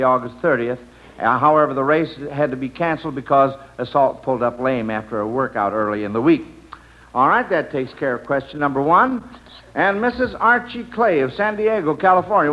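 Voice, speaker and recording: A man's voice: medium pitch, lo-fi sound. An American man hosting an old-time radio quiz show, on a vintage recording.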